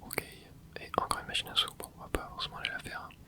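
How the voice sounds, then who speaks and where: A man whispering casual commentary as he plays a video game for an ASMR channel, dropping small interjections and explaining the game to his viewers. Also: fast talker. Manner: soft whispering with little interjections while playing. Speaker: ASMR gaming channel host.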